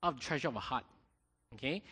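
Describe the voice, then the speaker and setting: A man preaching a sermon in a lively way, often checking in with his congregation. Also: medium pitch, fast, breathy edge. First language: not Mandarin. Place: church hall. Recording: long hall reverb.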